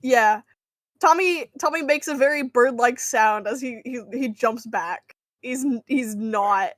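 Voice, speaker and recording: High-voiced woman, slow and halting. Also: dry recording, studio quality. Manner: halting, searching for words. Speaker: player in a tabletop roleplay game. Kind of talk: casual improvised character narration.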